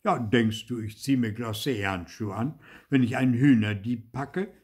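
A man voicing a gruff, stern farmer in a children's story, taunting with sarcastic mock politeness. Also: mid-range voice, moderate pace, a little mellow, close to an everyday voice. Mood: gruff scolding. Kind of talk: dramatised children's storybook reading.

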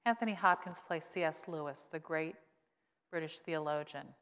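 A woman's voice: normal speed, fairly bright tone. Woman pastor preaching in a reverberant church. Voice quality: voice grown lower since illness, she says. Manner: conversational preaching with wry humor. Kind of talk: sermon. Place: church sanctuary at a pulpit. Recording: long reverb of a church sanctuary.

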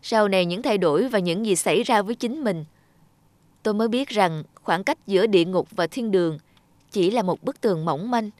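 A woman voicing a humble, earnest former beggar man in a fairy-tale cartoon, speaking in a measured, polite way. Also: mid-range voice, darkish tone, no echo, natural voice. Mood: earnest, grateful.

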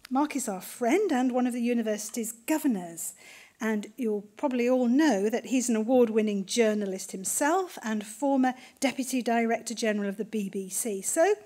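High-voiced woman introducing speakers. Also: normal speed, warm tone. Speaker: event host introducing speakers. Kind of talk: prepared introduction speech. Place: university lecture theatre, at lectern.

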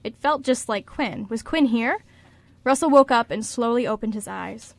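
A woman's voice: fairly high pitch, normal speed, vocal fry, no echo. A woman narrating a scripted story for a radio drama.

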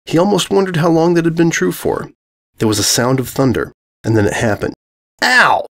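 A man's voice: on the high side, big pitch swings, brisk, darkish tone. A man narrating comic fantasy fiction as a scripted dramatic reading.

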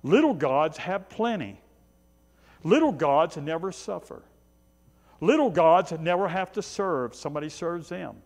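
A man in his sixties preaching a sermon as a pastor, emphatic, with short repeated phrases and conversational asides. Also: a little high. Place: small church sanctuary, indoors. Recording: long reverb of a church hall.

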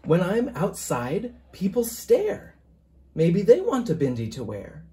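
A teacher reading a children's picture book aloud in a gentle, deliberate, expressive sing-song way. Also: mid-range voice, slight background noise.

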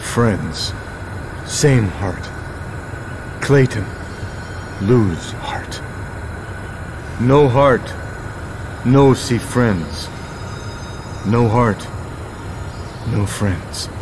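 A man voicing a gentle wild jungle man in a game cutscene, speaking broken, halting simple phrases in a gravelly voice. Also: medium pitch, lively intonation, background noise. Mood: solemn, earnest. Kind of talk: video game cutscene acting.

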